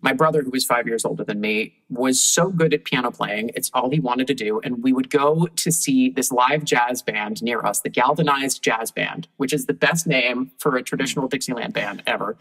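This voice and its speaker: A woman with a deep voice, a guest telling lively anecdotes in casual conversation and laughing often. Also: moderate pace, hardly any pauses, poor audio. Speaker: guest puppeteer.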